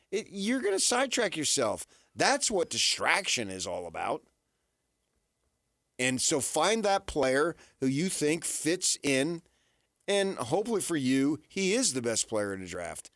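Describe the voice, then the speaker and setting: A man's voice: fairly high pitch, a bit bright, no room sound, studio quality. A man hosting a sports radio show, debating off the cuff in an emphatic, insistent way and repeating his points for effect. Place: radio studio.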